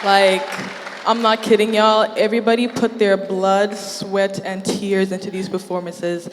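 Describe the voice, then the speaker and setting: A woman's voice: mid-range voice, level tone, measured pace, in a large hall, background noise. A woman from Zimbabwe emceeing a student show, upbeat and teasing as she hypes the crowd. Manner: warm-up announcer, teasing, upbeat. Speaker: event emcee.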